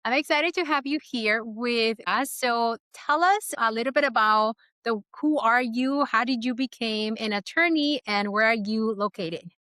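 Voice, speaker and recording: A woman's voice: fairly high pitch, a little slow, hardly any pauses, pushed and bright, dry recording. A woman hosting a podcast who interviews her guest with enthusiasm, often affirming what she says. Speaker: podcast host.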